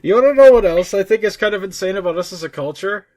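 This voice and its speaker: American male co-host, voice a bit high, casual banter, halting delivery with repeated words. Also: lively intonation, a little mellow. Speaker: podcast co-host.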